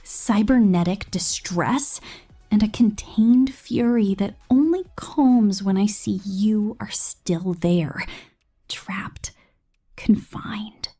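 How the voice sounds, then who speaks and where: A woman's voice: mid-range voice, slow, dark tone, slightly rough, faint music behind. A woman playing a possessive, contemptuous killer robot girl in an ASMR villain roleplay, theatrical and domineering, often dropping into a whisper. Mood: obsessive disdain.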